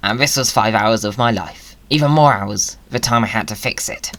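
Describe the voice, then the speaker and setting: A man's voice: on the low side, moderate pace, fairly bright tone. A British man hosting a gaming video, chatting to viewers in a playful, whimsical way with exclamations.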